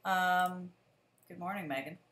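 A woman teacher hosting a casual chat with a guest over a video call, relaxed and chatty. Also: lowish voice, a little slow. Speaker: teacher and show host. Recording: echoey room.

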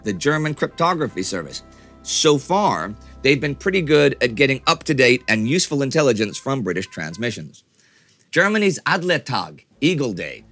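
A man's voice: mid-range voice, bright, forceful tone. A middle-aged man hosting a history show, reading scripted narration with emphatic, dramatic storytelling delivery. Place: small furnished study set, indoors.

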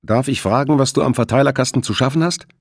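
A man voicing a stern, watchful ship security chief in an audiobook, sharp and commanding. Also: medium pitch, fast talker, vocal fry, breathy edge, no room sound, studio quality, pitched above the performer's own voice.

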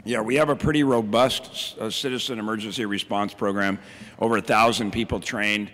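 A man's voice: lowish voice, steady tone, fairly quick, a bit bright. A middle-aged man giving a plainspoken keynote talk from a podium mic and taking audience questions, with frequent ums and restarts. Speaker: county emergency services director, keynote speaker. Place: large hall at a podium. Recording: reverberant hall.

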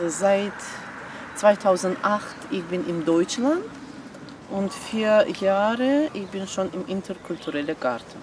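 A woman from Azerbaijan, a member of a community garden, speaking in halting non-native German in a documentary interview in an outdoor garden. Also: medium pitch, animated, recorded from a distance, background noise.

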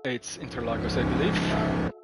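A man's voice: mid-range voice, fairly even intonation, normal speed, over loud music. A man giving casual commentary while playing a racing game, reading the game's dialogue aloud and reacting as he drives. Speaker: gaming video host. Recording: noisy game audio underneath. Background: racing game engine sounds.

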